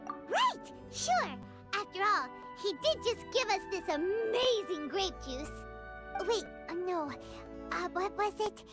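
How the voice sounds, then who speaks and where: A woman voicing a small, excitable, childlike fairy sidekick girl in an anime-style video game, bubbly and full of exclamations. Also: takes it slowly, heavily acted. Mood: flustered, mimicking. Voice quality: squeaky, childlike. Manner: bubbly, exclamatory, refers to herself in third person. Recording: background music under the voice.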